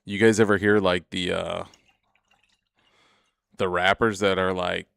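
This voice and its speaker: A man's voice: deep voice, little pitch movement, unhurried, vocal fry, fairly bright tone, clean tone, no room sound. A young man streaming a game, rambling and swearing as he chats to viewers about himself. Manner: rambling, profane, chatty, often laughs. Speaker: gaming streamer. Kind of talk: casual gameplay commentary.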